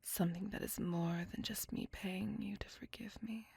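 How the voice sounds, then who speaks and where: A woman voicing a lovesick, possessive vampire lady in an ASMR roleplay, courtly and formal, in a breathy whisper. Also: mid-range voice, fairly even intonation, normal speed, a little mellow, dry recording, full character voice. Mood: courtly, coaxing. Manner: formal, old-fashioned, courtly wording. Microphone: close microphone.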